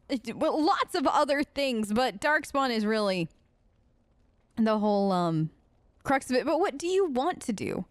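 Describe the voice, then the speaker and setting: A woman with a high voice, recorded close and dry. She role-plays her game character as a let's play host, talking in a casual, rambling, hesitant way. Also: smooth, dry recording. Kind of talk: improvised in-character game dialogue, casual chat.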